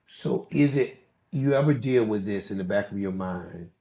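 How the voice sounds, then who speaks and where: A man's voice: lowish voice, expressive, moderate pace, vocal fry, warm tone. A man, a pastor, conducting a conversational church interview, putting questions in short phrases and echoing what his guest says. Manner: echoes and repeats the guest's words.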